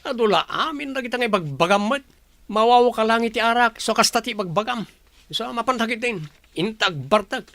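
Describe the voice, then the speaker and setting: A man's voice: extremely high pitch, lively intonation, fairly quick, fairly bright tone, no echo, exaggerated voice. A man playing a callous, scheming young groom in an Ilocano radio drama, with a Filipino accent. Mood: dismissive, gloating.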